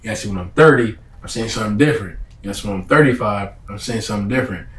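A man's voice: on the low side, rapid-fire. A young man speaking casually as an interview guest, in slangy, emphatic phrases that he often repeats. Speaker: interview guest, comedy event host. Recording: echoey room.

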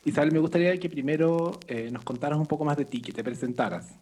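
A man's voice: on the high side, fairly quick, a little mellow, slightly rough. A man hosting an interview podcast, politely welcoming his guest and drawing her out with questions. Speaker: podcast host.

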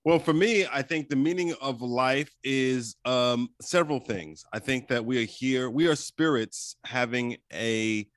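A man's voice: medium pitch, measured pace, few pauses, fairly bright tone, no echo. A man in his forties talking candidly and in a laid-back way, sharing personal stories and spiritual views in conversation. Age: 40s. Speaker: conversation partner. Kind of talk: casual chat.

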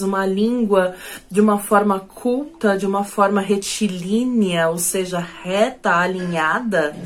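A Brazilian woman with a Brazilian Portuguese accent performing spoken word poetry in a declamatory way, with sudden drops and surges in pitch and loudness.

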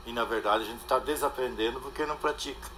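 A man's voice: medium pitch, quick pace, pushed and bright, slight background noise. An older man, a guest speaking casually and hesitantly, often trailing off with a questioning tag. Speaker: interview guest. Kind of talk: casual conversation. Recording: faint background ambience.

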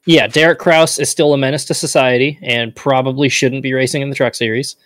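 A man with a slight Southern accent co-hosting a casual racing podcast, ranting in an animated, exasperated way. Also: medium pitch, brisk, dry recording. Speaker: podcast co-host. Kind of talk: casual motorsport chat.

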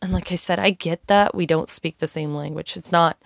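A woman's voice: lowish voice, fairly even intonation, fairly quick, vocal fry, no room sound, studio quality. A young woman talking casually and frankly, rambling and swearing as she vents. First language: English. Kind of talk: casual monologue, venting.

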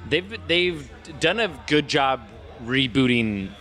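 A man with a bright, sharp-edged voice at an average pitch. He chats casually and rambles, often hesitating and circling back as he goes. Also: animated, slow, faint music behind. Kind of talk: casual chat.

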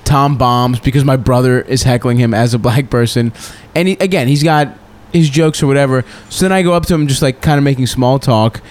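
A man's voice: medium pitch, fairly quick, few pauses, a little mellow. A man co-hosting a comedy podcast, telling crude stories in a rambling, joking, casual way.

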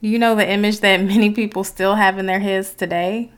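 An American woman speaks as the host of a solo podcast. Her voice is clear and smooth, at a medium pitch. She reflects in an articulate but conversational way. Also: fairly even intonation, fairly quick. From Detroit, United States.

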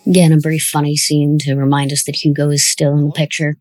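A woman's voice: sits low, little pitch movement, fairly quick, darkish tone, no echo, faint music behind. A woman hosting a casual director's commentary, chatty and reflective, with a lightly self-deprecating manner. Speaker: podcast host, audio drama writer-director.

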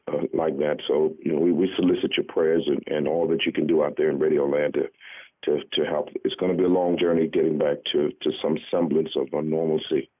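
An older man in his sixties from the Bahamas, a guest pastor talking in an earnest, measured, halting interview style. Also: low voice, slightly rough. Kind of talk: conversational radio interview. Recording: thin, muffled phone line.

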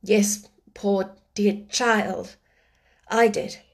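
A woman voicing a frail older gentleman who speaks gently and slowly, with a firm edge. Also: mid-range voice, fairly even intonation, vocal fry. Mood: tender. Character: frail older man, gentle but hot-tempered.

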